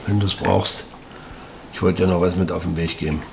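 An actor playing a confused, stubborn middle-aged father in a naturalistic radio drama, muttering in short halting phrases, guilt-ridden. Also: low voice, steady tone, vocal fry, background noise. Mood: stubborn, regretful.

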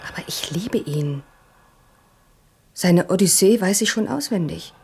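An actress playing a self-assured young princess in a radio play, cool, teasing and frank about love. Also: female voice, lively intonation, unhurried, slight background noise. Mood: lovestruck, insistent. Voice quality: low, husky. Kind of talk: German radio play acting.

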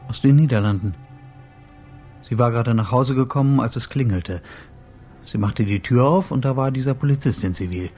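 An actor playing a sober, bereaved husband in a radio play, speaking in a subdued, matter-of-fact and slightly halting way. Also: male voice, medium pitch, darkish tone, faint music behind, natural voice. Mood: sober, informative. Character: bereaved husband, a sober man reporting his wife's death.

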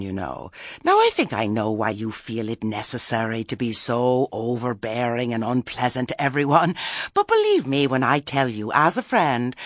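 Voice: medium pitch, a bit bright, no echo, pitched above the performer's own voice. A genteel, fussy lady witch from a storybook, put on by an audiobook narrator. She trills in a raised, swooping voice, over-polite and thoroughly condescending. The recording is dry.

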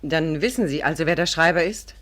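An actress playing a prim, sanctimonious village spinster in a 1950s radio play, genteel and self-righteous. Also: female voice, low-pitched, fairly quick, a bit bright. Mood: pointed.

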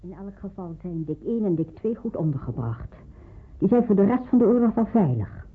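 A middle-aged pastor's wife in a radio drama, caring and practical. Her voice is husky, and she explains things in a reassuring, slightly hesitant way. It sounds muffled, as if down a phone line. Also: medium pitch, lively intonation, a little fast. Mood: concerned, practical. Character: caring, devout pastor's wife aiding people in hiding. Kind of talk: Dutch radio drama acting.

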